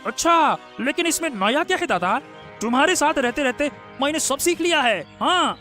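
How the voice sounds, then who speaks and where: A man voicing a cocky, excitable younger brother, a sly accomplice in a moral-story cartoon. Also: very high-pitched, moderate pace, soft background music, full character voice. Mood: eager. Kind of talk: animated Hindi moral-story dialogue.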